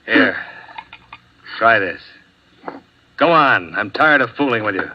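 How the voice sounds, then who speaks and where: Tough dry radio detective, clipped and blunt, lowish voice, dull old recording. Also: male voice, very animated, slow pace, slight background noise. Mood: cool, unimpressed. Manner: clipped, hard-boiled, matter-of-fact. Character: tough, unflappable male insurance investigator, dry and blunt. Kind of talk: 1950s radio detective drama acting.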